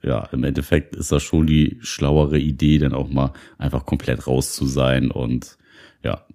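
A man's voice: rumbling low, level tone, unhurried, warm tone. A man co-hosting a podcast in casual chat, trading dry quips and reflecting on recent weeks. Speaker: podcast co-host.

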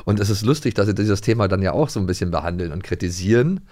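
A man's voice: on the low side, quick pace, darkish tone. A middle-aged man co-hosting a podcast, chatting casually and repeating words as he searches for phrases. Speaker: podcast host. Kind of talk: casual chat about radio plays.

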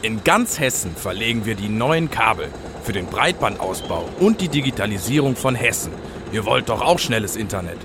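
An actor plays a male construction worker in an audio drama for children. He is busy and explains things in a brisk, practical way. Clear street and machine noise runs behind his voice. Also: fairly low pitch, very animated. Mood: patient, busy. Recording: noisy outdoor street with vehicle sounds.

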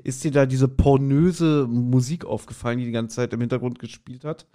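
A man speaks as a podcast co-host in relaxed, casual conversation. He sounds wry and blunt, with a jokey way of putting things, at a medium pitch. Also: moderate pace. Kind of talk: casual chat reviewing an audio drama.

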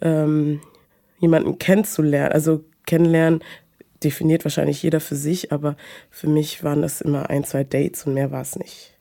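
A woman, talking hesitantly about her personal life with many pauses and fillers. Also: sits low, flat delivery, gravelly, warm tone. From Munich, Germany. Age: 20s. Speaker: guest. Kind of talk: personal conversation.

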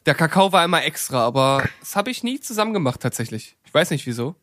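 A man with a high voice co-hosts a casual podcast talk. He chats conversationally, recorded very dry without any room sound. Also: very animated, quick pace, a bit bright, no room sound. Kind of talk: casual chat.

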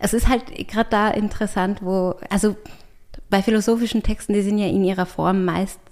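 Female writer and artist as a guest, mid-pitched, eager, piles up lists, restarts her sentences. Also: steady tone, a little fast, a little mellow. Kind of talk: conversational interview.

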